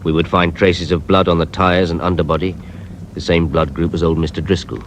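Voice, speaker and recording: A weary police inspector, telling his story in a radio drama. The voice is very low, measured and reflective, over loud background noise. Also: little pitch movement. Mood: reflective, sombre. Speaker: actor, narrator. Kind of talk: scripted first-person radio drama narration. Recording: noisy radio drama recording, effects behind.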